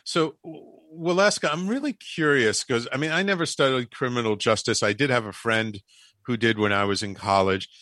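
A man's voice: medium pitch, moderate pace, sharp tone, dry recording, studio quality. A man hosting a radio talk show, asking curious questions with many restarts. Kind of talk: radio interview.

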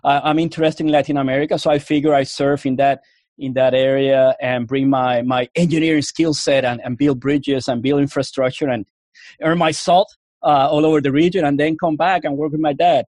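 A man with a Cuban accent and a slightly high-pitched voice recounts his path into the Army as an interview guest. He rambles, doubling back and restarting often. The sound is dry and close, with no room around it. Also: steady tone, fairly quick, a little mellow, no room sound. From Puerto Rico. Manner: rambling, full of restarts and fillers. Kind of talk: casual interview storytelling.

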